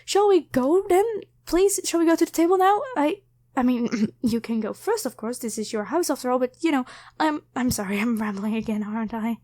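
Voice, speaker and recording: A very high-pitched young woman, played by a female voice actor, speaks straight to the listener in an ASMR roleplay. She is grateful and easily flustered. Her talk is hesitant and rambling, full of stammers and apologies. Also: animated, a little fast, dark tone, close to an everyday voice. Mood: shy pleading.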